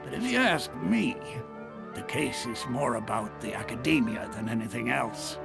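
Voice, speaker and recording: A man playing an easygoing, seasoned mercenary chief in a video game, gravelly and measured, as if confiding. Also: mid-range voice, expressive, over music. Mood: measured, informative.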